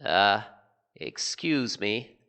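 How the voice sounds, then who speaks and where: An actor playing a meek, halting old male planet designer in an amateur audio drama, precious about his art. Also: medium pitch, very animated, bright, forceful tone, heavily acted.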